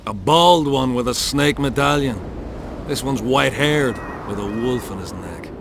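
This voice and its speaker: Gravelly, hard-bitten mercenary commander. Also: male voice, medium pitch. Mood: composed, dry. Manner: measured, commanding. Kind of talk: video game character acting. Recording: clear background ambience noise.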